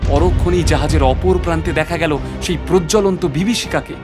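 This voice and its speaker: A man plays an adventurer telling his own sea story in an audio drama. His slightly high male voice carries suspenseful, literary narration over a clear music bed. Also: fairly quick, close to an everyday voice.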